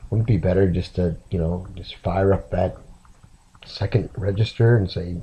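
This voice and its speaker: A man sick and rambling softly to his camera late at night in a raspy, half-whispered voice. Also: deep voice, steady tone, dull audio. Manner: slow, meandering, soft-spoken. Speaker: vlogger.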